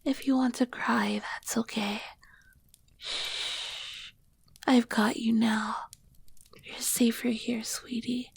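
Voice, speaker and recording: A woman's voice: on the high side, fairly even intonation, gravelly, slightly rough, exaggerated voice. A woman voicing an ancient, nurturing succubus queen in an ASMR roleplay, whispering breathily and soothingly with tender endearments. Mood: protective, comforting. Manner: slow, soothing, doting endearments.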